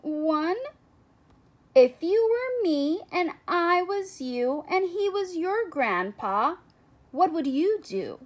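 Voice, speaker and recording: A woman's voice: very high voice, very animated, slow pace, voice pushed up, full character voice. A woman voicing a brave, earnest second-grade girl in a picture book, with hesitant, sincere phrasing.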